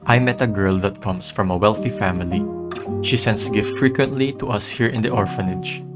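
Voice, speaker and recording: Low-voiced man over loud guitar music. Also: normal speed. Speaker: radio DJ and letter reader. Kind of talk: amateur radio drama, scripted reading. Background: acoustic guitar instrumental.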